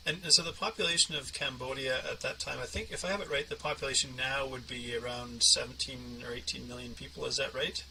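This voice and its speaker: A man's voice: mid-range voice, steady tone, quick pace, hardly any pauses, bright, forceful tone, recorded from a distance, a little hiss or hum, rough recording. Male podcast host, conversational interviewer.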